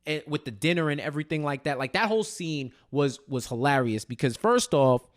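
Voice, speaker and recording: A man's voice: on the high side, expressive, brisk. A man co-hosting a casual TV recap, talking in slang, repeating phrases as he thinks and often checking in with "right?". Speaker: podcast co-host.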